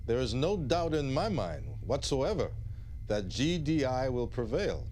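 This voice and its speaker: An actor playing a confident political leader in a game's news interview, speaking in emphatic, oratorical phrases. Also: male voice, mid-range voice, big pitch swings, unhurried, a little mellow, a little hiss or hum. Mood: resolute.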